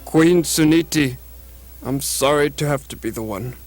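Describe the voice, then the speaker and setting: A man's voice: a little high, measured pace, soft background music, natural voice. A man playing an apologetic royal messenger in a puppet show. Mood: regretful. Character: apologetic royal messenger bringing bad news. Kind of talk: puppet show dramatic acting.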